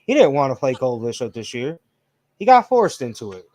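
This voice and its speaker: A man's voice: medium pitch, very animated, a little fast, darkish tone, no echo. A man in his late thirties co-hosting a casual sports chat, laid-back and profane, full of slang. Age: 30s. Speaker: podcast co-host.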